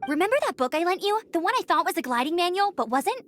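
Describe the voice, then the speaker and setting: A woman's voice: very high-pitched, animated, fast talker, a bit bright, a little hiss or hum. A woman voicing a plucky, bold teenage girl knight scout in a video game, spirited and emphatic. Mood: brave, resolved.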